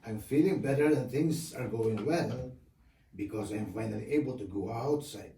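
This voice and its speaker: A man as a gravelly, mellow tiger puppet. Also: mid-range voice, moderate pace, lightly acted. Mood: polite, positive. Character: polite, positive male tiger puppet guest. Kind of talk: scripted children's puppet show. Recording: echoey, distant, noisy room.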